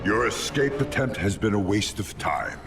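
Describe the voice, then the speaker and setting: A man playing a menacing, pompous alien tyrant giving commands in an animated video game. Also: on the low side, normal speed, close to an everyday voice. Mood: contemptuous. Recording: music and effects behind.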